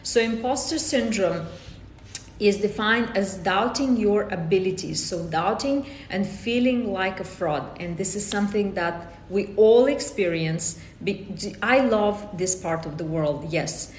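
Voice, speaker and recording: This is a woman with a Romanian accent hosting a live coaching session, pausing to welcome viewers by name and repeating phrases as she builds her point. Her voice sits at a middle pitch. The recording has a noticeable room echo and a faint low rumble beneath it. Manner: greets viewers by name and reads comments aloud; repeats herself and restarts phrases. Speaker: life coach. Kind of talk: live-streamed coaching workshop.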